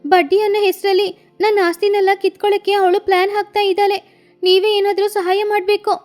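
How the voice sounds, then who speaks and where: A woman's voice: extremely high pitch, level tone, brisk, darkish tone, faint music behind, pitched above the performer's own voice. A woman voicing a distressed, complaining bird villager in a children's cartoon story, plaintive in manner. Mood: aggrieved, pleading.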